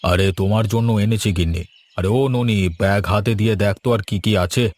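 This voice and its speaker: A man's voice: fairly low pitch, moderate pace, darkish tone, soft background music, natural voice. A man voicing a patient, thrifty old village grandfather in a cartoon, with a folksy, coaxing way of talking. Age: older. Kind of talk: cartoon voice acting.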